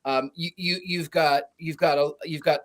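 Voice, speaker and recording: Male panelist, slightly high voice, lively storyteller who acts out quotes and searches for words mid-sentence. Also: normal speed, rough recording. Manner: animated anecdote teller, acts out quotes, stumbles for words. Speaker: podcast panelist. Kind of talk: casual chat, storytelling.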